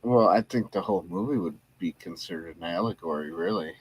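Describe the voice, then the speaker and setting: A man co-hosting a casual film review podcast, easygoing and quick to laugh, with plenty of halting fillers. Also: deep voice, breathy edge, rough recording. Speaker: podcast co-host.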